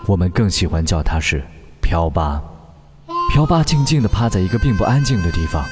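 A low-voiced, weary male singer in a radio drama, wistful and understated. He speaks in a measured, introspective way, with music clearly playing underneath. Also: sing-song. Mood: wistful, reflective. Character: weary, wistful male pop singer recalling his past. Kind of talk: radio drama acting with reflective narration. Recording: music bed behind the voice.

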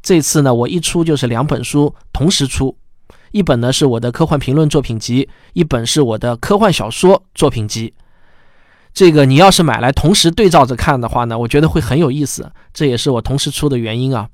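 A man's voice: mid-range voice, brisk, dry recording, clean studio sound. A man hosting a science podcast in a chatty, explanatory way. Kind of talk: podcast commentary, conversational.

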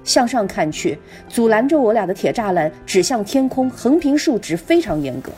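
A woman's voice: a little high, big pitch swings, normal speed, dark tone, faint music behind. A woman podcast host narrating a literary first-person story as a scripted reading.

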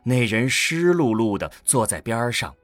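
A man with a husky voice narrating a scripted science-fiction audio drama. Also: mid-range voice, very animated, measured pace, a bit bright, no echo, soft background music.

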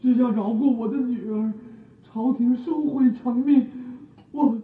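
A man playing a humble old village father in a period radio drama, speaking with husky, theatrical earnestness. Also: very high voice, steady tone, measured pace, exaggerated voice. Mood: pleading. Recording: muffled phone-like old radio sound.

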